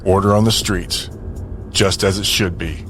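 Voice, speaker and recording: An actor playing a brisk, commanding man who runs a territory in an audio drama. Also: low-pitched, fairly even intonation, a bit bright, faint music behind. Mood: brisk authority.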